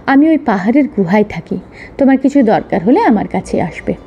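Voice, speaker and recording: A woman's voice: medium pitch, lively intonation, brisk, creaky, mellow, muffled recording, soft background music. A woman voicing a tiger in a children's story, pleading and grateful. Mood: reassuring. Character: tiger trapped in a well, promising and grateful.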